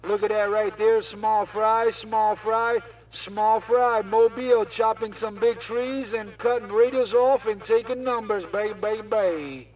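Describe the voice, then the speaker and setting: Casting: high-voiced male CB operator, showy and repetitive banter, sounding distant from the mic. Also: steady tone, a little slow, few pauses. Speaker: CB radio operator. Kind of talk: CB radio banter in repeated chanted catchphrases. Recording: CB radio chatter, off the mic.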